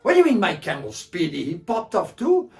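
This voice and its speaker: A man voicing a greedy, stingy desert man who pleads and repeats himself excitedly. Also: on the high side, a little slow, far from the mic, soft background music, pitched above the performer's own voice. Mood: alarmed.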